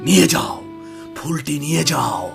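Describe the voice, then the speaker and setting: A man voicing a grave enchanted beast who guards a magic flower in a cartoon fairy tale, speaking in a gravelly, measured way. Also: fairly high pitch, expressive, music in the background. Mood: solemn, approving.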